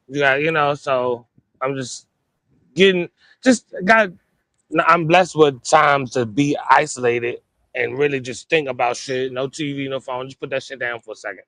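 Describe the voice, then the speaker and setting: A man's voice: a little high, measured pace, fairly bright tone, no room sound, low-quality recording. A man chatting casually and profanely on a live show, thinking aloud in a rambling, laid-back way on an earphone mic. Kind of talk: casual chat, slangy and profane. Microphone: earphone microphone.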